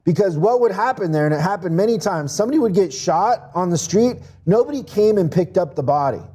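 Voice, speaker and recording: A man's voice: sits high, expressive, fairly quick, dark tone, slightly rough. A man who grew up in Mexico, a podcast guest talking business in an earnest, casual way and often restarting his words. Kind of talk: casual interview talk.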